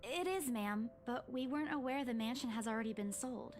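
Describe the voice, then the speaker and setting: An actress playing a polite, reassuring young estate agent in a visual novel, with music in the background. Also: female voice, a little high, brisk, natural voice. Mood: polite, tentative. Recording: music behind the voice.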